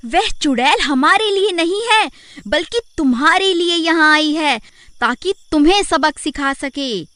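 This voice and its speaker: A woman's voice: high-pitched, expressive, unhurried, a bit bright. A woman playing an earnest village woman in a cartoon horror story, with an imploring manner. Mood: defiant. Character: distressed, earnest village woman pleading for justice.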